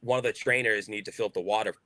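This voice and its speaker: Brash young Boston man, deep voice. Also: steady tone, rapid-fire, bright, forceful tone. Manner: outspoken, filler-heavy casual talk. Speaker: baseball player guest. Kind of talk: podcast interview.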